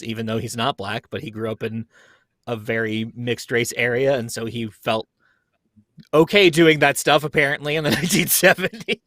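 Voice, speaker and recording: Guy in his 30s rambling casually on a call, middle pitch, pretty dry sound. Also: a bit bright, no room sound. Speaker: podcast cast member. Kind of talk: casual chat. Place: basement.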